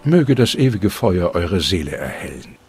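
Solemn old fire preacher, preachy, voice goes up and down a lot, game music behind. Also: male voice, sits low, sing-song, moderate pace, darkish tone. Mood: stern. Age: older. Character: stern older male preacher of a fire cult. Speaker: game character. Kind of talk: dubbed video game dialogue. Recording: game audio with music underneath.

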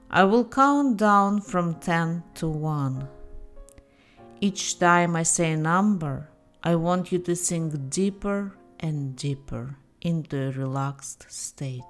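A woman narrating a guided meditation in a calm, gentle and deliberate manner, giving soothing scripted instructions with pauses. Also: low-pitched, vocal fry. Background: soft meditation music.

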